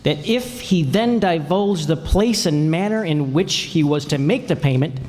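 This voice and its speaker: A man's voice: on the high side, animated, measured pace, a little mellow. A man playing a matter-of-fact investigator, acting and narrating in an old-time radio drama. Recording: echoey hall, long reverb.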